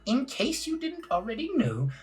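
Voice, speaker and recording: Game character: dramatic, excitable skeleton man acting, high-pitched, boastful, theatrical, music underneath. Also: normal speed, recorded from a distance, exaggerated voice. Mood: excited, awed. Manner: theatrical exclamations.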